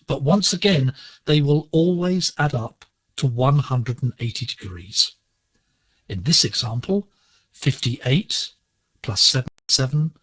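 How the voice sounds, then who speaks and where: A man presenting a scripted educational lesson on shortwave digital radio, explaining in a measured, deliberate way. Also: medium pitch, slightly rough, no room sound, low-quality recording. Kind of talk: scripted instructional narration.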